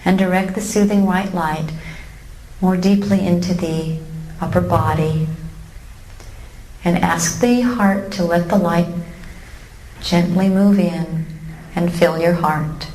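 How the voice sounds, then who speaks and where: A woman leading a guided meditation in a calm, gently instructive way with long pauses, recorded live in a room. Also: lowish voice. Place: room with a seated live audience. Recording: roomy live recording with faint noise.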